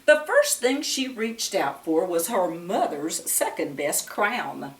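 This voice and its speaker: A woman's voice: on the low side, very animated, slow pace. A woman talking and reading to young children as a storyteller and Bible teacher, in a sing-song way with questions put to the listeners. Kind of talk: read-aloud storytime talk to young children. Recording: roomy echo, distant microphone.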